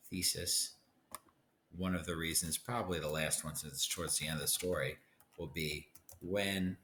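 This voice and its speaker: A man with a low voice teaches a lesson step by step, thinking aloud as he goes. He guides the listener and often checks in. The recording carries faint noise and typing. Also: bright, forceful tone. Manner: guiding, checks in with "right?". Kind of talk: instructional screen-share lesson, thinking aloud.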